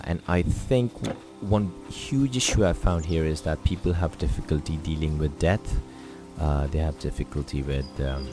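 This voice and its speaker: A man from Pakistan whose first language is Urdu, living in England, chatting casually with many fillers while playing a game on a poor home mic. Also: very deep voice. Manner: rambling, self-deprecating, many fillers. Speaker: gaming channel host. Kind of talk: casual let's play commentary. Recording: loud game music behind.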